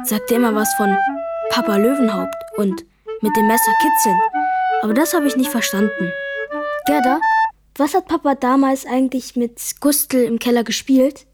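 A woman's voice: a little high, huge pitch swings, moderate pace, a little mellow. A woman playing an innocent, earnest little boy in a children's radio play. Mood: innocent, puzzled. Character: naive, eager little boy admiring his father. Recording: woodwind music behind the voice.

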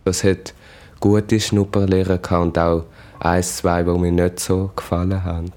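A teenage boy with a Swiss German accent, talking casually in a halting, unassuming way. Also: deep voice, level tone, a little mellow. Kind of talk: casual interview recollection.

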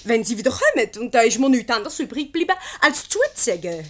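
A woman's voice: a little high, sing-song, fast talker. A woman playing a meddling switchboard operator in a Swiss German radio comedy, speaking in a pointed, officious, roundabout way. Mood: eager, businesslike. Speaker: radio play actor.

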